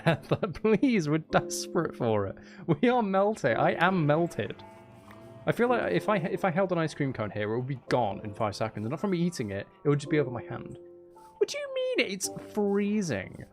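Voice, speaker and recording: A man chatting casually as he hosts a live game stream, playfully mock-dramatic and self-deprecating with his viewers. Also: on the high side. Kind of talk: casual chatty gaming livestream talk with viewers.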